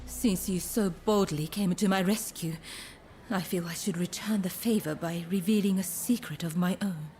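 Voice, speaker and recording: An actress playing an elegant, teasing courtesan in a video game cutscene, with refined, coquettish phrasing. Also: female voice, on the low side, moderate pace, warm tone, a little hiss or hum, lightly acted. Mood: composed. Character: elegant, coy, teasing courtesan woman with powerful connections.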